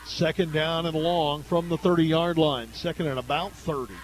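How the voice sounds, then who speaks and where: An American man doing live radio play-by-play commentary of a high school football game. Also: steady tone, moderate pace, slight background noise. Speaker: play-by-play announcer.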